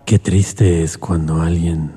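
Deep, slow, mournful male crooner. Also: fairly even intonation, mellow, soft background music. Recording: long reverb, far from the mic.

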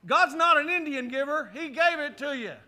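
A middle-aged male pastor preaching a sermon in a church, leading the congregation in call-and-response with animated, forceful delivery. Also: very high voice, moderate pace. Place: church sanctuary. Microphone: handheld or headset mic.

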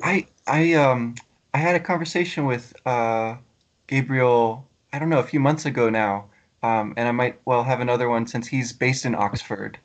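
A man's voice: mid-range voice, moderate pace. A man hosting an interview, asking questions hesitantly with many ums and uhs. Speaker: podcast host.

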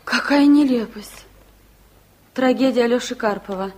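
High, gentle young sweetheart, played by an actress. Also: female voice, a little slow, a little hiss or hum, natural voice. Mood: reading aloud. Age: young adult. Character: shy, tender young sweetheart, easily moved. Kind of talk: 1960s Soviet radio play acting.

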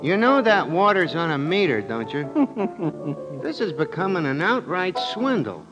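An actor playing a complaining, folksy bartender in 1940s radio drama. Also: male voice, a little high, big pitch swings, measured pace, highs cut off. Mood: grumbling. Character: grumbling, comic, penny-pinching bartender, plain working man. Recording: old radio recording with music.

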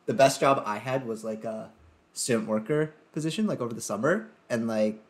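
A man from Sri Lanka with a slight British-influenced accent, a podcast guest in casual chat who talks in a relaxed, thoughtful way full of "like". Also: medium pitch, fairly quick. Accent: slight British-influenced English.

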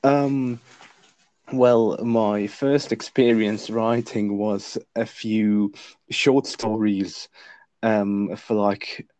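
Slow, hesitant man, native Lithuanian speaker. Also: on the low side, flat delivery, darkish tone, dry recording. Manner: hesitant, many fillers and restarts. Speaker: guest author. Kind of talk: podcast interview.

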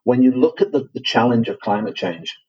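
A man with a Manchester accent, a guest on a podcast interview, talking in a measured way with frequent fillers and restarts. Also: mid-range voice, animated, darkish tone, breathy edge, highs cut off. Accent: Manchester English. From England. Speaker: guest, company CEO.

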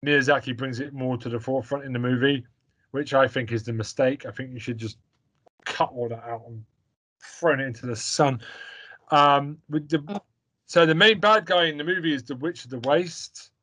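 Man, young adult, podcast co-host, rambling, opinionated, lots of fillers, medium pitch. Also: moderate pace, pushed and bright. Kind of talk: casual chat reviewing a film.

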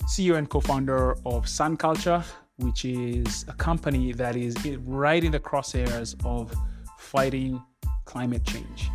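An energetic man opens and runs a podcast interview, eager and talkative. His voice sits in an ordinary middle pitch. Music plays clearly underneath him. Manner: energetic, enthusiastic intro with repeated restarts. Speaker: podcast host.

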